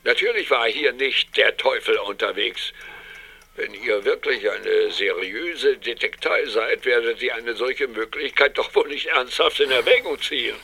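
An actor playing an irascible, self-important professor in a German audio drama, lecturing and snappish. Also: male voice, medium pitch, a little slow, vocal fry, pushed and bright, slightly rough, full character voice. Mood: irritated, scornful.

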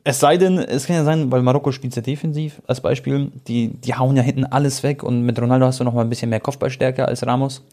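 Young male football co-host, rapid informal delivery, relaxed mellow timbre, colloquial slang. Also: mid-range voice. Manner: colloquial, slangy youth speech. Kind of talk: casual chat about football.

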